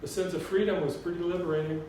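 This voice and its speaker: A man's voice: high voice, steady tone, quick pace, warm tone, natural voice. Man reading as troubled younger woman, echoey room. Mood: wistful. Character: troubled grown granddaughter, frustrated and wistful woman. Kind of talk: audiobook character dialogue. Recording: very echoey room, distant mic, faint noise.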